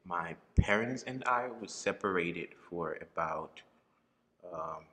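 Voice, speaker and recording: A man from Liberia, a guest talking casually about his life and faith, soft-spoken and quick to laugh. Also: low voice, animated, long reverb tail. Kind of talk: casual interview chat.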